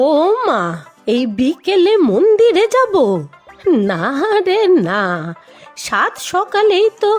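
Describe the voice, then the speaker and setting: A kindly old cartoon grandmother played by a woman speaks slowly and affectionately in a high voice. Her intonation rises and falls in big, lively curves, drawing out each exclamation. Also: soft background music, exaggerated voice. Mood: fond, pious. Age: older. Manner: gentle, affectionate, drawn-out exclaiming. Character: kindly, devout old grandmother who loves snacks. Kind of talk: cartoon voice acting.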